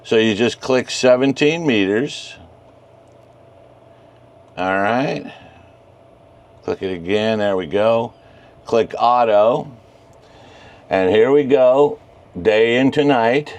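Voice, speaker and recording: A man's voice: mid-range voice, takes it slowly, long pauses, a little mellow. A man presenting an informal, encouraging tutorial as an enthusiastic radio hobbyist, walking through things step by step. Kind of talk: informal screen-share tutorial.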